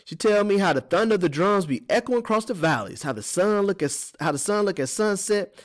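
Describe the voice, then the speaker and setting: A kindly older cook and herbalist, acted by a man, speaks in a raised pitch. She is an enslaved woman in a storybook, gentle and earnest, with a folksy way of telling things. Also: lively intonation, a little fast. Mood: wistful.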